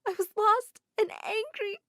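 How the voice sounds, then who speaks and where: A woman voicing a remorseful young sister who speaks very high and tearful. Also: unhurried, bright, forceful tone, no echo, studio quality, exaggerated voice.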